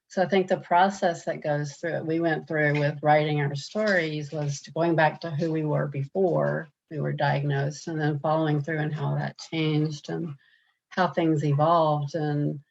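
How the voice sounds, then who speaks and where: A woman with a deep, gravelly voice answers interview questions in an earnest way. She often stops and restarts her sentences. The sound is a little dull and muffled, as on a video call. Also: darkish tone. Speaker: program participant.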